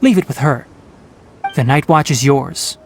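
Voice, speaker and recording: A man plays a young forest ranger scholar in a video game. His mid-pitched voice is calm and caring, with an articulate, gently lecturing delivery. Music plays behind him. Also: unhurried, close to an everyday voice. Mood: calm, gracious. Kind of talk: video game character acting.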